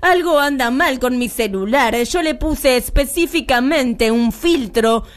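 High-pitched, gleefully wicked villainess. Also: female voice, measured pace. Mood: disdainful. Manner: theatrical, cackling, gloating, mock-sweet. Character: gleefully wicked, self-absorbed spinster birthday villainess. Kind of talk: comic radio drama acting.

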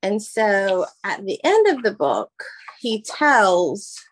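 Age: 30s. Sex: woman. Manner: earnest, animated. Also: mid-range voice, slow, low-quality recording. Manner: earnest and animated, warm emphasis on key phrases. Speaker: guest, former teacher and mom. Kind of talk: casual interview answers.

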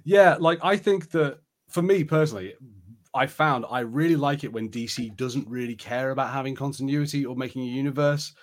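A man's voice: medium pitch, brisk. Casual man chatting on a podcast. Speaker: podcast co-host. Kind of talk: casual chat.

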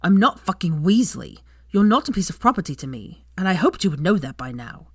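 A woman voicing a gruff, hot-tempered young wizard in a story reading. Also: very animated, moderate pace, mellow, dry recording, studio quality, heavily acted. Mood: angry. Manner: gruff, low and blunt.

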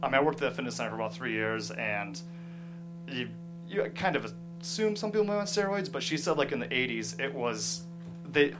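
A man with a mid-pitched voice gives a casual, thoughtful interview as a writer. He speaks haltingly, searching for the right words. Guitar music plays clearly behind him. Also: expressive, rough recording. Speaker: screenwriter being interviewed.

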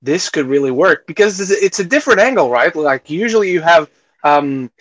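Male co-host, slightly high voice, dry sound, conversational and careful with his opinions. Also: lively intonation, a little fast, a bit bright, no room sound. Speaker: podcast co-host. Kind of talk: casual film discussion.

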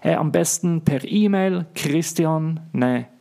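Gravelly Swiss man hosting a podcast. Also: on the high side, slow, mellow. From Switzerland. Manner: earnest and reflective, thinking aloud with fillers. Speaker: podcast host. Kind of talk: solo podcast monologue.

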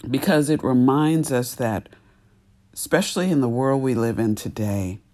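A man preaching a sermon as pastor, sounding a little sniffly, and speaking in deliberate, emphatic phrases broken up by pauses. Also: medium pitch, a little mellow.

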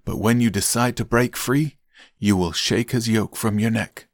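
An aged, frail father from a scripture tale, acted by a man reading aloud. He speaks with solemn weight, declaiming deliberately in a raised voice. The sound is close and dry. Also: fairly low pitch, steady tone, no room sound, pitched above the performer's own voice, close to an everyday voice. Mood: grave, regretful. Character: old frail solemn father, a biblical patriarch blessing sons.

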